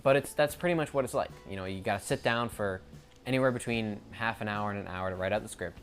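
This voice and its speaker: Fast-talking rambling man. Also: fairly low pitch, soft background music. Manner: rambling, corrects himself mid-sentence; stutters now and then. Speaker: YouTuber. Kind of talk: unscripted casual monologue to camera.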